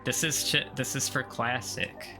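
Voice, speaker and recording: Male game streamer, unhurried and medium-pitched, nitpicky and sweary, rambling casually over prominent game music. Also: steady tone, sharp tone. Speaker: streamer playing a video game. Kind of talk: casual gameplay commentary. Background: video game music.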